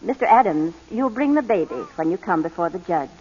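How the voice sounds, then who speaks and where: A woman's voice: lowish voice, animated, a little fast, darkish tone, slightly rough. A woman playing a kindly, businesslike adoption official, formal and reassuring, in 1940s radio drama style. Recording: dull, reverberant old radio broadcast.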